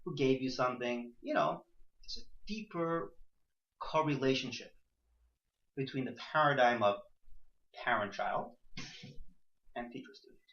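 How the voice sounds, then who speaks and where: A man's voice: mid-range voice, lively intonation, measured pace, long pauses, a bit bright. A man giving an interactive class to a small group, asking rhetorical questions and repeating and rephrasing himself. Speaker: teacher leading a class. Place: indoor room with a few students. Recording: echoey room, dull muffled sound.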